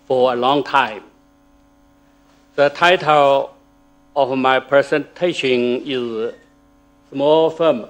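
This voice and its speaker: A man whose first language is Chinese, giving a formal academic talk in a lecture hall, slow and halting with pauses and fillers. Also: medium pitch. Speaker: conference speaker.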